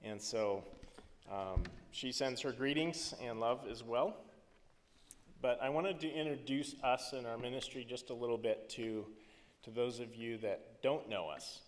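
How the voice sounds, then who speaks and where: Earnest missionary man in a reverberant hall. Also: medium pitch. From Philippines. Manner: earnest, halting with frequent ums and restarts. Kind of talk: church missions talk.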